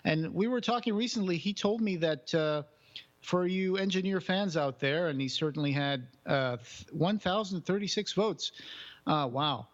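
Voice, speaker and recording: A man's voice: high-pitched, moderate pace, highs cut off. A man co-hosting a podcast in casual chat, rambling with many restarts and fillers. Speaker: podcast co-host.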